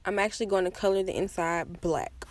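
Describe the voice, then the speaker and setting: A woman's voice: medium pitch, fairly even intonation, brisk. A woman giving a casual, chatty makeup tutorial voice-over with self-deprecating asides. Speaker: makeup vlogger.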